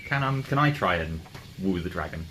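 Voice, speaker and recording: A man with a lowish voice chats casually while playing a tabletop game. His intonation moves around a lot, and there is clear background noise in the room. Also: lively intonation, moderate pace, pushed and bright. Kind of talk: casual chat. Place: in-person game session in a room.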